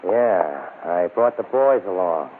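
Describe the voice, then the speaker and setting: An actor playing a menacing, tough gang leader in an old crime radio drama. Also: male voice, sits low, lively intonation, takes it slowly. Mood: threatening. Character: menacing tough gangster leader named Joe. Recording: noisy, tinny old radio recording.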